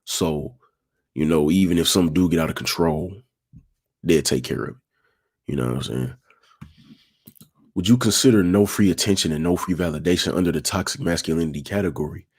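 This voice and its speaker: A young man with a raspy voice, talking in a laid-back, smooth way and repeating himself as he hosts a casual livestream. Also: low voice, brisk, long pauses. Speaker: livestream host.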